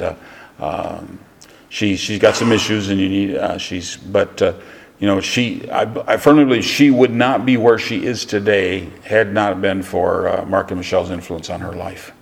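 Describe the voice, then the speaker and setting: A pastor introducing a guest preacher, speaking haltingly with many fillers and restarts, recorded in a church. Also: male voice, low-pitched, vocal fry. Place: church sanctuary. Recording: church hall reverb.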